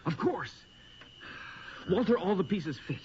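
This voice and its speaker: An actor playing an earnest, uneasy investigator who explains the supernatural in a grave manner in a radio drama. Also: male voice, high-pitched, lively intonation, a little slow, darkish tone, slight background noise. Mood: insistent. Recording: muffled old radio recording.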